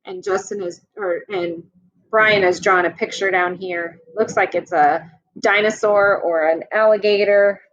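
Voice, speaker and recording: Slow, patient woman teacher reading aloud. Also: medium pitch, fairly even intonation, dull audio. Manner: slow, patient, asks questions to young listeners. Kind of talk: read-aloud story with class questions.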